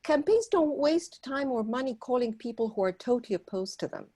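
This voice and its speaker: A woman from Argentina, a volunteer organizer, giving an earnest prepared talk at a meeting and pausing often on fillers. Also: a little high, animated, dark tone, husky. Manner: earnest, persuasive, with frequent filler pauses.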